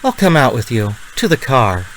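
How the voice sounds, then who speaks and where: A woman's voice: a bass voice, big pitch swings, normal speed. Fretful, doting mother in old radio. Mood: tender, sad. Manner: fretful, tender, pleading. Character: anxious, doting mother of a grown son. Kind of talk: 1940s radio drama acting. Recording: faintly noisy vintage radio recording.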